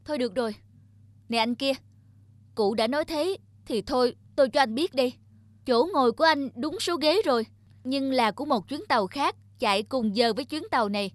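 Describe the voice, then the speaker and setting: A woman's voice: high-pitched, a little fast, no echo, natural voice. A woman voicing a principled, helpful young female passenger in a cartoon, polite but assertive. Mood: firm. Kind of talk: cartoon character acting.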